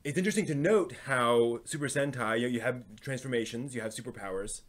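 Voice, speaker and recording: A man hosting a live stream, talking in a casual, analytical way and often halting as he thinks aloud. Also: medium pitch. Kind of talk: casual rambling live commentary on anime and comics.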